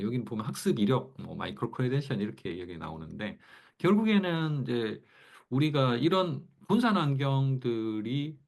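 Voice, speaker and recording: A man's voice: mid-range voice, creaky, darkish tone. A man with a Gyeongsang accent giving a casual online class lecture, thinking aloud in a loose, conversational way with frequent fillers. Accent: Gyeongsang Korean. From Gyeongsang region, Korea.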